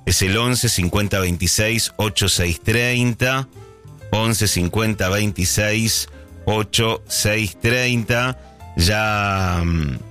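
A man's voice: fairly low pitch, bright, forceful tone. A middle-aged man with a Rioplatense Spanish accent hosting a casual early-morning radio show, chatting and thinking aloud with many fillers and restarts. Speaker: radio host.

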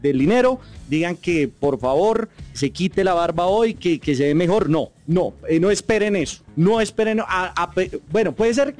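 High-voiced man, chatty interviewer. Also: expressive, moderate pace, darkish tone, soft background music. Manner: chatty, repeats himself. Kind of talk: casual entertainment chat.

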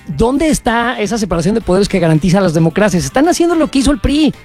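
Give me a lively high-voiced Mexican radio host. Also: male voice, faint music behind. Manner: loose, joking and quick to laugh, with slang. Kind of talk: casual on-air radio banter. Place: radio studio.

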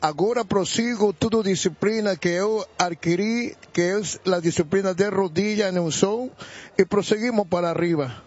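A native Spanish-speaking man with a Bolivian accent giving religious testimony haltingly, mixing in Spanish words. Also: high voice, a little mellow, breathy edge, highs cut off. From Bolivia, Santa Cruz de la Sierra. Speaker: church member giving testimony. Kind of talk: religious testimony in a live interview.

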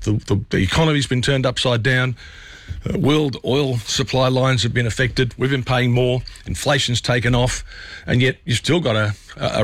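An Australian man hosts an evening talk radio show, his mid-pitched voice a little scratchy from a chest cold. He walks listeners through the night's news in a conversational way. The sound is dry and close, straight from a studio microphone. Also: a bit bright, dry recording. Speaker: radio host.

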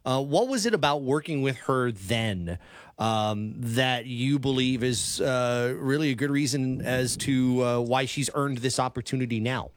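A man hosting a sports radio show, asking questions with many fillers and halting restarts. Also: medium pitch. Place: radio studio.

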